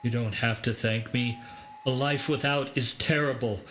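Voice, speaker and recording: A man's voice: mid-range voice, steady tone, moderate pace, fairly bright tone, slight background noise, natural voice. A man acting in a radio play as a protective, doting crime-boss father, earnest and paternal. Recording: faint music bed.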